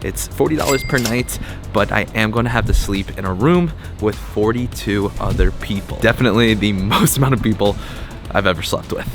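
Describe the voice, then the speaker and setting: A man's voice: fairly low pitch, fairly quick. A young man narrating a casual travel vlog in an upbeat, chatty way, with light jokes. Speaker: travel vlogger and interviewer. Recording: background music under voice.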